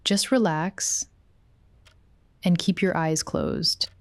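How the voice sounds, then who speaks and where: A woman playing a possessive, quietly controlling therapist in an intimate ASMR roleplay, speaking softly and coaxingly. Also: on the low side, no room sound. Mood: soothing, hypnotic. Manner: soft, unhurried, coaxing.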